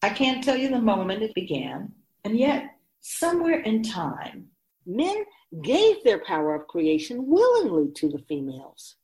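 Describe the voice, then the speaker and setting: A woman voicing a wise, ancient spirit who keeps souls, teaching patiently and deliberately in a scripted radio drama. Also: mid-range voice, huge pitch swings, darkish tone, rough recording. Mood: measured, guarded. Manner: measured, deliberate, didactic, solemn.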